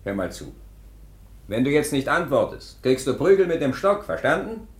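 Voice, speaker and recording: Man as a gruff police sergeant, swinging pitch. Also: sing-song, normal speed, close to an everyday voice. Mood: stern, threatening. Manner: brusque, commanding, officially clipped. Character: gruff, impatient 19th-century police sergeant. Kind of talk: German radio drama acting.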